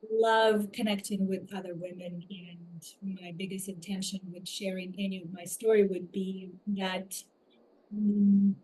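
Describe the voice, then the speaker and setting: A woman from Ukraine with a Ukrainian accent, telling her personal story as an interview guest, reflective and halting as she picks her words. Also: medium pitch, warm tone, husky, rough recording. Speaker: podcast guest, somatic coach.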